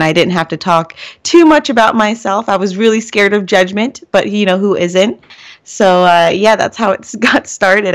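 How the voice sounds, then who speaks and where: A young woman as an interview guest, speaking sincerely and a bit hesitantly with many fillers. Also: mid-range voice. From Filipino-Spanish heritage. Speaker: guest, amiibo artist. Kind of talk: casual interview.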